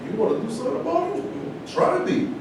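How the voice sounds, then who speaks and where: A man's voice: sing-song, quick pace, vocal fry, full character voice. An actor playing a defiant, taunting male athlete with a confrontational delivery in a staged play reading. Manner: confrontational, goading. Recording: echoey room, distant mic, rumbly background noise.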